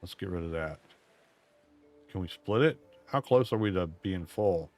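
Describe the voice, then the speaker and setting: Man, very low voice, gaming stream chatter, drawling and self-mocking, going hoarse. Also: animated, a little slow, a little mellow, faint music behind. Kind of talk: casual gameplay commentary.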